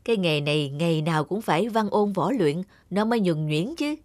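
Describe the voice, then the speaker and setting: An actor playing a cheerful, loyal young circus performer in a cartoon, breezy and reassuring. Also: male voice, sits high, expressive, brisk, no room sound.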